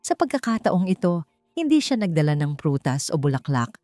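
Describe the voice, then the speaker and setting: A woman storyteller reading dramatic scripted narration with solemn, deliberate phrasing. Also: on the low side, very animated, dark tone, faint music behind.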